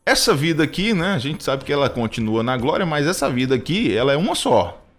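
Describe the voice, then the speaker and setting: A man's voice: on the high side, very animated, brisk. Earnest hesitant man in his thirties. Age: 30s. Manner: hesitant, many restarts and fillers, earnest. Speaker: radio program co-host.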